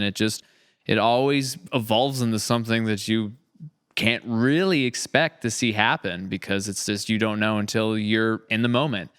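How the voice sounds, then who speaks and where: Smooth low-voiced man chatting casually. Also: a bit bright. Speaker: podcast host, audio drama creator. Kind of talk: casual podcast conversation.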